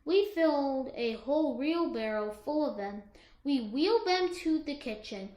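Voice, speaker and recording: A woman reads a story to children in a high, bright voice. Her delivery is expressive and swings up and down, and the room around her echoes. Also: normal speed. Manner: expressive, dramatized reading. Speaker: teacher co-reading aloud to a class. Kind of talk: read-aloud storytelling for children.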